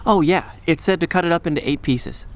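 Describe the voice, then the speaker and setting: An actor playing an earnest young medical graduate in a radio drama, defensive and conversational in delivery. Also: male voice, a little high, a little fast, lightly acted. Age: young adult. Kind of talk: naturalistic radio drama acting.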